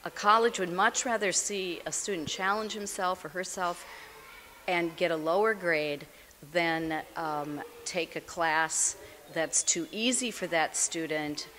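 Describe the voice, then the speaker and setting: A lower-pitched woman explains things in a measured way, as a school counselor would in an interview. She is recorded in a reverberant room with faint noise around her. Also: sharp tone. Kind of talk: interview advice to students.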